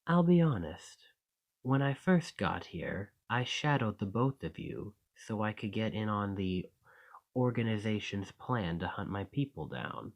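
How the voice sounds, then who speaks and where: Male actor as possessive monster shapeshifter for ASMR roleplay: lowish voice, very unhurried, coaxing with quiet menace. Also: expressive, vocal fry, heavily acted. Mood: earnest, possessive. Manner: coaxing, deliberate, softly menacing. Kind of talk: ASMR roleplay, intimate dramatic monologue.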